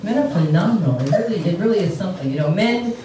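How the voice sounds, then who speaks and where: A woman from New Jersey doing a brash, animated stand-up set in a comedy club. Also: medium pitch, fast talker, very echoey, slight background noise. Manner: brash, animated, swinging into mocking voices. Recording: distant mic in a loud club with crowd laughter.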